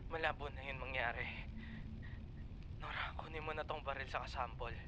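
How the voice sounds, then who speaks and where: A man's voice: mid-range voice, steady tone, normal speed, lo-fi sound, background noise, lightly acted. A man playing a weary, self-sacrificing young survivor in a zombie horror drama, earnest and melodramatic. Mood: resigned, tender. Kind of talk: melodramatic horror film acting.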